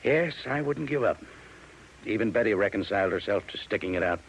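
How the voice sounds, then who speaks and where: A man's voice: on the low side, lively intonation, fairly quick, poor audio. A man playing a weary, troubled rancher with an American accent and a plain folksy manner in a 1950s radio drama. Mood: resigned. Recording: vintage radio recording, faint noise.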